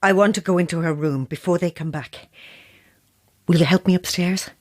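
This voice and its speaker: A woman's voice: on the low side, moderate pace, darkish tone, slight background noise, close to an everyday voice. A woman playing a sceptical, guilt-ridden novelist in an audio horror drama. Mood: shaken.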